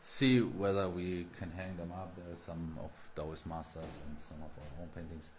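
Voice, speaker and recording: A man who is not a native English speaker, chatting casually as a Daoist teacher on a livestream, halting with many fillers. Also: sits low, steady tone, a little mellow. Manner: halting, filler-heavy, laughs often. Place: indoor room with tea table. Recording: echoey room, faint noise.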